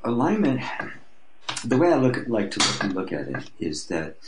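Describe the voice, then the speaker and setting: A man's voice: fairly low pitch, huge pitch swings, normal speed, noisy background. A mature man speaking as an interview guest, explaining his personal-growth teaching in a reflective, conversational way with frequent restarts.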